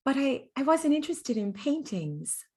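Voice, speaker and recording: An actress playing a gentle, girlish, chatty dead woman of thirty-four in a confiding, nostalgic stage monologue. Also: sits high, very animated, moderate pace. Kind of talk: dramatic monologue, stage-style acting.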